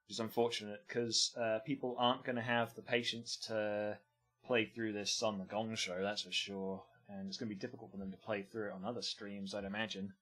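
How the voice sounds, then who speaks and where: A man's voice: lowish voice, flat delivery, fairly quick, fairly bright tone. A man streaming and commenting casually on games, with offhand, meandering remarks. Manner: offhand, meandering, muttering asides.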